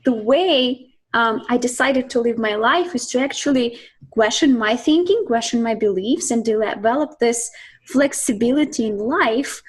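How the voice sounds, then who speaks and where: A woman from Siberia, a guest talking reflectively about her life and work, often pausing with ums and restarts. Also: a little high, slightly rough. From Russia (Siberia). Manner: reflective, thinks aloud with many ums and restarts. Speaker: guest, mindset coach. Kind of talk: casual interview talk.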